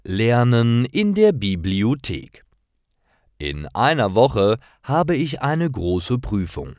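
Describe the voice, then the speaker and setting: A man narrating short scripted stories for language learners, with careful, deliberate enunciation. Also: mid-range voice, very animated, darkish tone, no room sound, studio quality.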